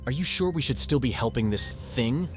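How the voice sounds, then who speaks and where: A man's voice: mid-range voice, expressive, moderate pace, faint music behind, lightly acted. A man playing a shaken young medical student, narrating and acting in a horror audio drama in an earnest, somber way. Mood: alarmed. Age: young adult.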